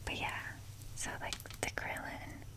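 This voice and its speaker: A woman speaking in a soft breathy whisper, rambling casually and hesitantly with wry, self-deprecating humour in an ASMR show-and-tell style. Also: a little slow. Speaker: ASMR video creator showing a toy collection.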